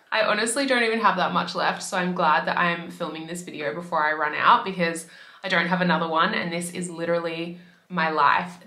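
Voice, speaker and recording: A woman in her twenties from Australia, chatting casually to camera as a beauty vlogger, her voice slightly raspy from a cold. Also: lowish voice, sharp tone, echoey room. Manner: chatty, upbeat, fillers like "like". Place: bedroom by a window.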